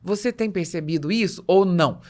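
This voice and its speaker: A Brazilian woman speaks as a podcast host in a somewhat low register. She is conversational and articulate, framing questions and explaining ideas in an affirming interviewer's manner. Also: a little fast, a little mellow. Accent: Brazilian Portuguese.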